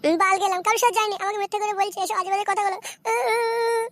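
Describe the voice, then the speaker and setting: A woman acting as a coy, defiant young Bengali village wife from a comic cartoon. Her voice sits extremely high and races along, wheedling one moment and bickering the next. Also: steady tone, fast, fairly bright tone, no echo, clean studio sound. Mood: defiant, sulky.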